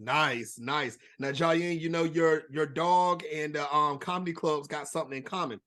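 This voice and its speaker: A man's voice: a little high, moderate pace, bright, forceful tone. A man hosting a podcast interview, talking in a loose, slangy, hype-host way.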